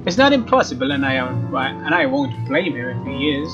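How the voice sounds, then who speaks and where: A man's voice: medium pitch, sing-song, moderate pace. A man hosting a let's play, reading game narration aloud in a casual way and sometimes rereading phrases. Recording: slightly dull mic, game music behind. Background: game music with guitar.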